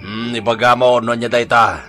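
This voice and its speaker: A man's voice: mid-range voice, fairly even intonation, moderate pace, music in the background, close to an everyday voice. A man with an Ilocano accent playing a hot-tempered, quarrelsome bully in a radio drama, scolding and exclaiming in bluster. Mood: irritable.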